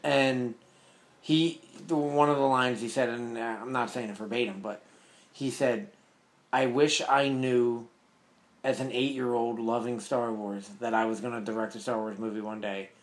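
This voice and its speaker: A man co-hosting a podcast, talking casually and agreeably with short replies and excited asides. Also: mid-range voice, moderate pace. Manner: relaxed, agreeable, short interjections. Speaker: podcast co-host. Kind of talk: casual chat.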